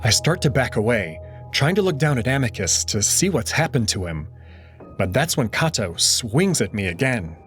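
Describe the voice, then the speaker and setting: A man narrating a visual novel in the first person, with dramatic, expressive storytelling. His pitch sits mid-range but moves in lively swings. Clear music runs underneath the reading. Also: normal speed.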